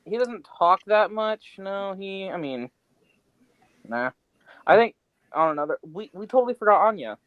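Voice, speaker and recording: Animated male podcaster, opinionated and chatty, close dry sound. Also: sits high, moderate pace, long pauses, no room sound, narrow, tinny audio. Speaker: podcast co-host. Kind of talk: casual, opinionated banter.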